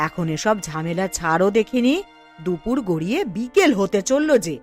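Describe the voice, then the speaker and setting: A woman plays an aged mother-in-law in a cartoon family story. Her voice sits low and she appeals in a coaxing, gently chiding way. Faint music plays behind her. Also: big pitch swings, normal speed, lightly acted. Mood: placating. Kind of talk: animated cartoon story dialogue.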